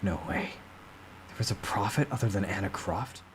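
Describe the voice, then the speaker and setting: Casting: man playing a stern, distrustful young fighter, low and clipped, for a dramatic novel reading; faint noise. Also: moderate pace, close to an everyday voice, rough recording. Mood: stunned suspicion. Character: cold, suspicious young male regressor fighter, terse.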